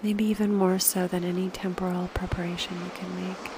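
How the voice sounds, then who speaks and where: A woman leading a slow guided meditation, speaking softly and unhurriedly in short phrases with pauses, often posing reflective questions. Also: lowish voice, level tone, background noise.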